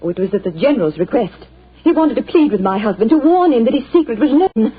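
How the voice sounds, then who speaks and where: An actress playing an anxious, genteel wartime wife, in a 1940s radio play. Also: female voice, a little high, very animated, fast, slightly rough. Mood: distressed. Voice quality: genteel, pleading. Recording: tinny 1940s radio broadcast.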